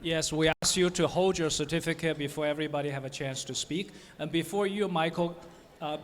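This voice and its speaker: A man emceeing an event, briskly and courteously introducing the next speakers. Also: on the high side. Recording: reverberant hall.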